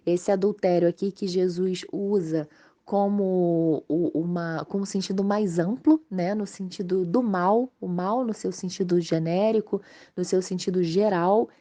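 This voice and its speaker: A Brazilian woman with a slightly hoarse voice from a mild cold, giving an informal, explanatory religious study talk to viewers. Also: lowish voice, fairly even intonation, measured pace, warm tone. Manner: explanatory, conversational, checking in with tag questions. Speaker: host of a spiritist study channel.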